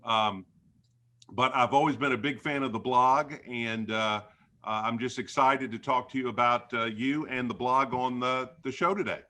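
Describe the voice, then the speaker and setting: A man's voice: mid-range voice, fairly even intonation, moderate pace, pushed and bright. A man hosting a podcast interview, asking questions with a hesitant delivery full of ums and uhs. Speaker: podcast host.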